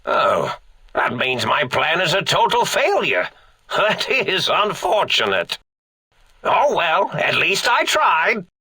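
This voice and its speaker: A man playing an eccentric, forgetful old mad scientist in a video game, raspy and theatrical, rambling along with pompous, absent-minded manner. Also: sits high, huge pitch swings, slow, sharp tone, no room sound, full character voice. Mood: scheming, playful. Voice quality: raspy, creaky. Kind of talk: video game character acting.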